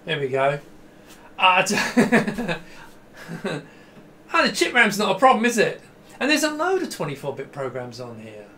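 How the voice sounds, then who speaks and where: A middle-aged man with a high, bright voice talks casually through a hands-on demo. He is enthusiastic and rambles, often dropping a sentence halfway to start another. There is noticeable background noise in the recording. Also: sing-song, unhurried, long pauses. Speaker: host demonstrating a vintage computer. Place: small indoor home room with computer gear.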